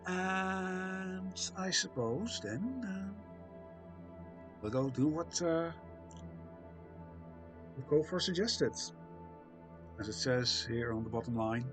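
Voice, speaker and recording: A man live-streaming, chatting casually with viewers, reading chat aloud with a soft, soothing voice and self-deprecating humour. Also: on the high side, expressive, slow pace, long pauses, music in the background.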